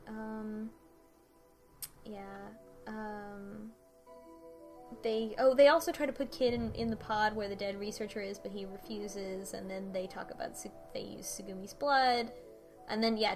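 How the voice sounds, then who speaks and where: A woman co-hosting a casual chat, recapping a story with lots of ums and restarts. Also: mid-range voice. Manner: rambling, many ums and restarts. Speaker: podcast co-host.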